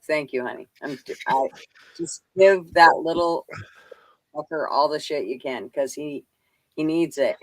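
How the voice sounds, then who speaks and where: A woman's voice: deep voice, dry recording, rough recording. A middle-aged woman in casual live stream chat, rambling and swearing freely in a blunt, folksy way.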